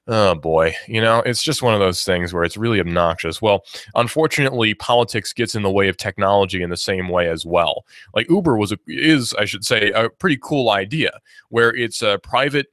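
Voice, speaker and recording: A young man in his twenties talks through his views on a radio show, looping back and restarting his sentences as he goes. His voice sits fairly low, and the sound is close and dry with no room echo. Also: a bit bright. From United States. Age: 20s. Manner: rambling, opinionated, many restarts and fillers. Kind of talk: casual talk radio monologue.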